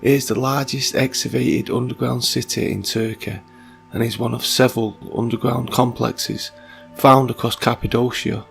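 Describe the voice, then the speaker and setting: Croaky, gravelly-voiced man narrating. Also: mid-range voice, fairly even intonation, normal speed, soft background music. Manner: reads passages, then muses aloud in asides. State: croaky from a sore throat. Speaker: video narrator. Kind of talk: reading and commenting on mythology.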